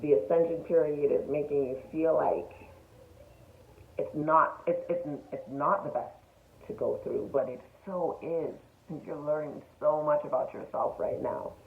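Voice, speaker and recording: A woman vlogger speaks in an emphatic, conversational way, leaning on pointed questions. The recording is clearly roomy and echoey, with a thin, phone-like tone. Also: sits low, a little slow. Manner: emphatic, animated, rhetorical questions, frequent chuckles. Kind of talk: casual spiritual self-development talk to camera.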